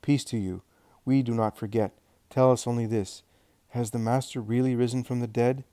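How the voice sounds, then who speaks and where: A man reading aloud, voicing a compassionate elderly woman who speaks softly. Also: mid-range voice, fairly even intonation, moderate pace, no room sound, studio quality, lightly acted. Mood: gentle.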